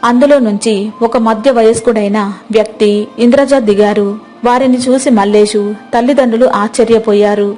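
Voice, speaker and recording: Female storyteller, slightly high voice, steady and clear, soft music underneath. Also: fairly quick. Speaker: narrator. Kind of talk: storybook narration. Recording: music playing behind.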